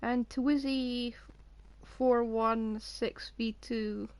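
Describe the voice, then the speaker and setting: A woman's voice: a little high, fairly even intonation, extremely slow, no room sound. A woman game streamer giving casual, wry, offhand livestream commentary.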